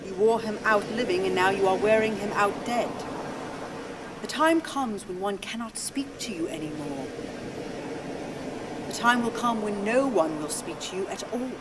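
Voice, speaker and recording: A weary, detached wife played by an actress in a radio drama, speaking in cool, measured phrases. She sounds set back from the mic, with clear noise around her. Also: expressive, natural voice. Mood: cool, reproachful. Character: weary, cool, matter-of-fact wife of a troubled man. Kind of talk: radio play acting. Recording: distant from the mic, noisy ambient rumble.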